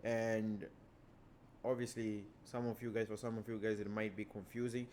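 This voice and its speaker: A man whose first language is Portuguese, giving a casual lesson as a trading educator and walking through charts in a relaxed way that leans on repeated stock phrases. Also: fairly low pitch, moderate pace, slight background noise.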